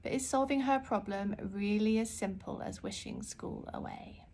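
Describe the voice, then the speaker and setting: A woman author reading her children's book aloud in an expressive storytelling style. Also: medium pitch, normal speed.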